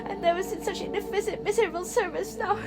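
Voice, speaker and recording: A woman's voice: very high-pitched, fast. A young woman playing a nervous, demanding invalid woman with plaintive, imperious outbursts in an amateur radio play. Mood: agitated. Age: young adult. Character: frantic, demanding invalid woman alone in bed. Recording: dramatic music laid under the voice.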